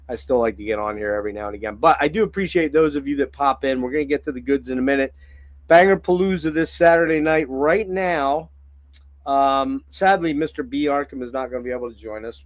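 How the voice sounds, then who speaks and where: A hoarse, phlegmy man chats loosely as host of his own livestream. He clears his throat often and fills pauses with ums and uhs. His voice sits at an ordinary pitch and comes across dry and close. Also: no echo. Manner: rambling, frequent throat clearing and filler words. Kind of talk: casual livestream chat.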